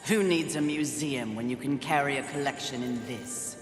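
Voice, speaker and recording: A woman's voice: extremely low pitch, moderate pace. A woman playing a fierce, sardonic apocalyptic warrior in a video game, with a cutting, defiant manner. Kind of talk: video game cutscene acting. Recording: echoing voice over game music.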